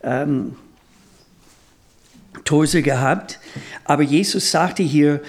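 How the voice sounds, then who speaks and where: A man preaching a sermon in a language that is not his mother tongue, deliberate and halting as he rephrases himself. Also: on the high side, darkish tone. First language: not German; speaks German as a second language.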